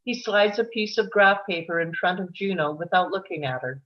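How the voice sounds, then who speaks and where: A woman's voice: fairly low pitch, normal speed, highs cut off. A woman reading stage directions as the narrator of a live screenplay table read.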